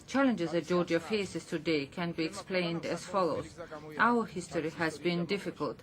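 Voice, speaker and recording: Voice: medium pitch, normal speed, crowd noise. Hesitant interpreter voicing a speech. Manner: hesitant, with frequent fillers and restarts. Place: assembly chamber.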